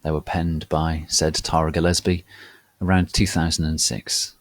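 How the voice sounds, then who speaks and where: A man hosting and reading aloud as a dramatic reading, formal, deliberate and gravely theatrical in a mock-solemn way. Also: rumbling low, little pitch movement, dry recording. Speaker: host and reader.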